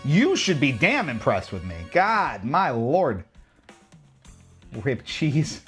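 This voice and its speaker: A man giving casual, joking commentary while he plays a racing game on a livestream, reacting out loud to every turn. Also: mid-range voice, wildly swooping pitch, slow, soft background music. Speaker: livestream gamer.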